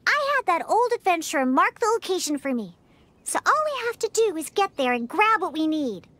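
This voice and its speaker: A woman's voice: very high-pitched, very animated, moderate pace, a bit bright, no echo, heavily acted. A woman voicing a sassy, grumpy cat-eared little girl bartender in a video game, huffy and haughty. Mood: smug, confident. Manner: huffy, haughty, emphatic delivery. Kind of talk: scripted video game dialogue. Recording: music behind. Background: background game music.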